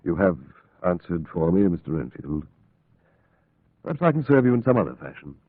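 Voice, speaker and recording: A man playing a brisk, commanding asylum doctor in an old-time radio play, authoritative, emphatic and theatrical. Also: sits low, sing-song, no echo. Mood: stern impatience. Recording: dry, narrow-band vintage radio recording.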